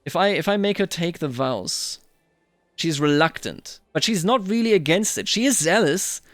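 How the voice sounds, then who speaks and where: Male game commentator, slightly high voice, close dry sound, muses through decisions aloud in roleplay style. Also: expressive, no room sound, soft background music. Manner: thinks aloud, musing and deliberate. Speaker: host of a strategy game roleplay series.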